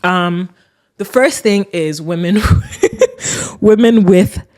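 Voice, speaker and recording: A young adult woman with a deep voice, hosting a podcast and ranting casually with laughs. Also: slow, darkish tone. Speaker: podcast host. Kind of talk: casual solo rant.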